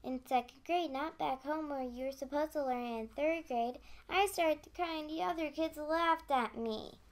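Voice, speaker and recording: Young girl, high-pitched, earnest, homesick, second grader, radio drama. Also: normal speed. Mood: upset. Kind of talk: radio play acting.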